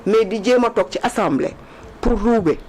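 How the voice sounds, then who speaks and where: A woman with a Senegalese accent giving political commentary in an animated discussion, emphatic and repeating points for stress. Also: on the high side, moderate pace, husky. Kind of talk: animated political discussion mixing two languages.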